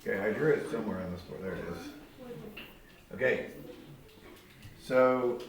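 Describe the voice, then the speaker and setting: A man's voice: on the low side, moderate pace, vocal fry, crowd noise. A man teaching a geometry lesson to a class, explaining step by step and asking students questions. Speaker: math teacher. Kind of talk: classroom instruction. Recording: echoey classroom with faint noise.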